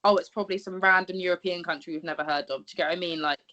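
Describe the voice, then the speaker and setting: A woman co-hosting a casual podcast, speaking in an animated way and often checking that her listeners follow her. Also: on the low side, racing, muffled recording. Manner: animated, laughs easily, checks in with "do you get what I mean". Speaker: podcast co-host. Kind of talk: casual conversational interview.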